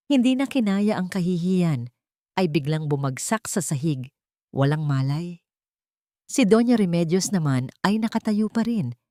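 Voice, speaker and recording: A woman with a fairly low voice tells a dramatic romance story in a steady, scripted way. The recording is close and dry, with no room sound. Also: dry recording. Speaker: narrator.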